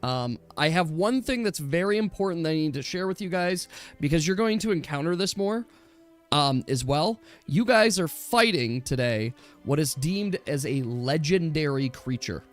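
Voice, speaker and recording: A man running a tabletop game, explaining rules casually in a chatty, halting way. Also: a little high, expressive, faint music behind. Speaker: game master.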